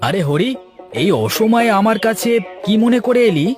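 A man voicing an excitable, vengeful farmer, an elder brother in a cartoon fairytale, with emphatic delivery. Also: high voice, normal speed. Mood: falsely kind. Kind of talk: animated moral fairytale dialogue. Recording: music behind the voice.

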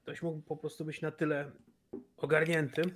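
A man's voice: on the high side, flat delivery, moderate pace. A man playing a tabletop role-playing game, casually talking through ideas. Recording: noticeable background noise.

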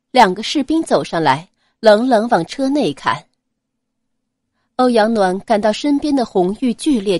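A woman narrating a serialized audio novel in a smooth, expressive storytelling manner. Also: medium pitch, no room sound, clean studio sound.

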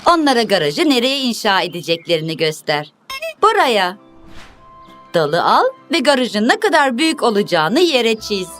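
Female children's cartoon narrator, highish voice, very animated intonation, expressive storytelling over music. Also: moderate pace. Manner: expressive, simple instructive phrasing for young children. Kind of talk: scripted children's story narration, addressing characters and viewers.

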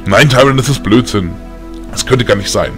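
A man voicing a caring, weary old grandfather in an audiobook, gentle and measured in his telling. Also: medium pitch, soft background music, lightly acted. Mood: reassuring. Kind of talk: audiobook character acting.

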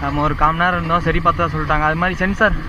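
A young man talks at a rapid clip in a slightly high voice. He rambles on to his viewers from an action camera on his moving motorbike. Clear road and wind noise runs under him the whole way. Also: steady tone, pushed and bright, muffled recording. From India. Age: young adult. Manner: chatty, addresses viewers constantly, rambling repetitions. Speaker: motovlogger. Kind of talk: casual chat to viewers while riding. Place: outdoors on a moving motorbike, city streets. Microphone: helmet or chest action camera.

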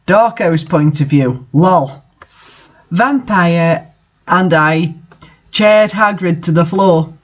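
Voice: medium pitch, takes it slowly, pauses often, dull audio. A host reading fan fiction aloud in an amateur dramatic style, with the odd aside.

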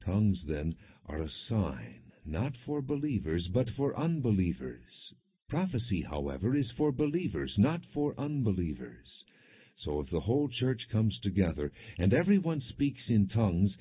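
Low, husky man reading scripture. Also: big pitch swings, no room sound. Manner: measured, deliberate phrasing. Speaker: audiobook narrator. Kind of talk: scripted Bible reading, non-dramatized. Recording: muffled, narrow phone-like sound.

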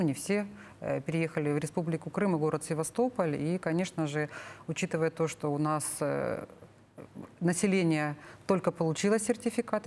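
Low-voiced middle-aged woman explaining. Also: darkish tone, slight background noise. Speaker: government official, expert guest. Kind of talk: studio interview explanation. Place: TV studio, seated at a table. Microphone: lapel.

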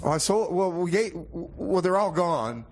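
A man hosting a radio show with casual comedic banter and song announcements, rambling and halting as he goes. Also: high-pitched, expressive. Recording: clear background noise.

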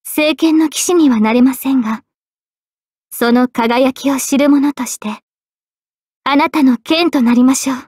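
Anime game role: regal young female sword spirit, high-pitched, gentle, measured and polite, very dry studio sound. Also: husky, dry recording. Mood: composed, earnest. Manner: poised, polite, measured delivery. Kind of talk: Japanese anime game voice acting. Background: faint background music.

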